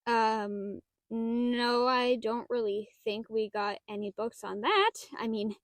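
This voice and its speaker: A woman playing a shy, nerdy young half-dragon librarian in an audio roleplay, flustered and halting, bookish and given to theatrical indignation. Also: on the high side, no echo. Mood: bashful, flustered. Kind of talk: audio roleplay acting, addressed to the listener.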